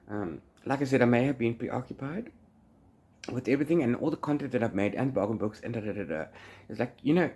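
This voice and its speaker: A man's voice: medium pitch, dark tone. A man chatting casually as a book vlogger, rambling along in a playful, theatrical way. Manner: rambling, trails off into blah blah and la-la fillers. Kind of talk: casual, chatty reading vlog.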